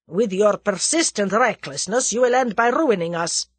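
A woman's voice: fairly low pitch, lively intonation, moderate pace, husky, dry recording. A woman voicing a bitter, agitated, menacing Russian man, a conspirator in an audiobook, snarling and exasperated. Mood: bitter reproach. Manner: snarling, forceful, exasperated delivery. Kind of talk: dramatised audiobook character dialogue.

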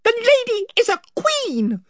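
A tiny mouse knight squeaks in a very high voice, gallant and fiercely formal. The read is close and dry, like a storybook told up close. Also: male voice, wildly swooping pitch, a little slow, fairly bright tone, dry recording, heavily acted. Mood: indignant. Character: tiny valiant male talking mouse knight.

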